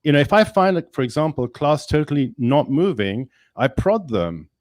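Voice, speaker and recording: A male engineering professor speaking in a webinar discussion, energetic and emphatic, with many restarts. English is not his first language. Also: fairly high pitch, normal speed, no room sound.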